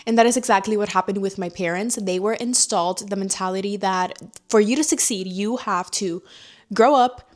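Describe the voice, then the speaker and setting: A woman's voice: mid-range voice, normal speed. Young woman from Cuba, content creator, candid and emphatic, casual vlog talk. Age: young adult. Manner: candid, emphatic, sometimes swears.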